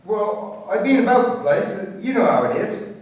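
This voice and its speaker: Shifty colloquial bloke, probing, high-pitched, radio play, heavy echo. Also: male voice, big pitch swings, normal speed, darkish tone. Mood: casual, probing. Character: shifty, casual London pub acquaintance fishing for information. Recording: very echoey, distant from the mic.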